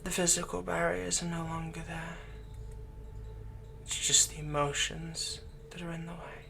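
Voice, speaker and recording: Obsessed villain lover played by a man, slow and insinuating, gravelly edge under breathy intimate murmurs, ASMR audio drama. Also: a little high, level tone, slight background noise, exaggerated voice. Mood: possessive, tender. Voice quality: breathy, whispery. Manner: slow, soft, possessive, insinuating. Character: smitten yandere villain comforting his captive.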